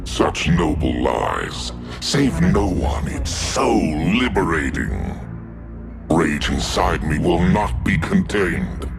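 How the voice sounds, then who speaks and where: An actor voicing a cruel, bloodthirsty demonic living scythe in a video game, with a gravelly, menacing delivery. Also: male voice, deep voice, big pitch swings, slow, full character voice. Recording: game audio with music behind.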